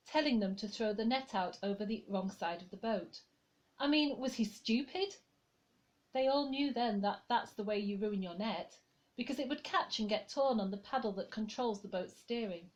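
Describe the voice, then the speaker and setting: A woman reading a written church reflection aloud in a gentle, conversational way. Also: fairly high pitch. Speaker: lay reader.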